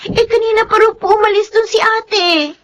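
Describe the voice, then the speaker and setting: A woman's voice: extremely high pitch, normal speed, slight background noise. An actress playing an anxious, dutiful young woman in a radio drama, respectful and tearful as a grieving daughter and sister. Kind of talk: Tagalog radio drama acting.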